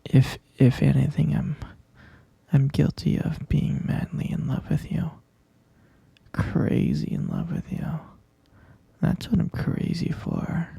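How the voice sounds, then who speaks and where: A man playing a possessive, lovesick kidnapper in an intimate ASMR roleplay, coaxing softly in a breathy half-whisper and repeating himself. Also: fairly high pitch, wildly swooping pitch, slow pace, no room sound, exaggerated voice. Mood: defensive, lovesick. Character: obsessive lovesick male kidnapper, soothing and possessive yandere.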